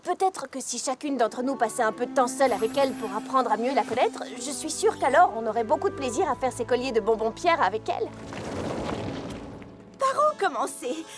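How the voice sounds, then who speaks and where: High-voiced, expressive polite cartoon pony. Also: female voice, a little fast. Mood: reassuring. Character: polite, eager, expressive young female cartoon ponies. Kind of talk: French-language cartoon dub. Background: music.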